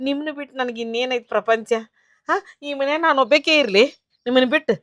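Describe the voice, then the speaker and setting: A woman playing a high-voiced, scolding North Karnataka mother-in-law. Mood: pleading, tearful. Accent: North Karnataka Kannada. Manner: scolding, sharp, and quick to blame. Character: jealous possessive mother-in-law, quick-tempered, later remorseful. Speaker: actor. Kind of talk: village family drama acting.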